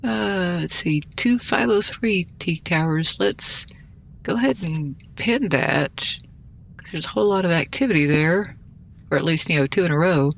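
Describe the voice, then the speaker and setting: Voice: mid-range voice, moderate pace, vocal fry, a bit bright, a little hiss or hum. A gameplay host giving casual, rambling commentary, muttering and thinking aloud in a self-deprecating way. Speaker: gaming video host.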